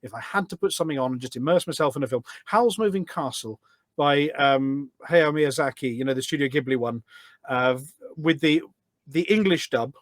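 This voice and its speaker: A man's voice: mid-range voice, moderate pace, no echo. A man talking enthusiastically as a guest in a casual interview, explaining things in a rambling way. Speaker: podcast guest, gaming community event organiser.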